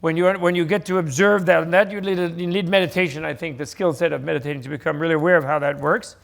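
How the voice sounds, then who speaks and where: An older man speaks at a live panel in a gravelly, fairly high voice. He explains ideas in a loose, animated way, often restarting his sentences as he goes. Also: fast talker. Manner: animated, rambling explanations with self-corrections. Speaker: panel speaker on Buddhist teachings. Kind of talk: conversational teaching talk. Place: live event stage with audience.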